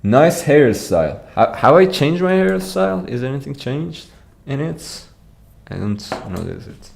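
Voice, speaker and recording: A man's voice: mid-range voice, sing-song, measured pace, gravelly. A young man with an Iranian accent, chatting casually on a livestream, often repeating words as he answers viewers. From Iran. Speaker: streamer.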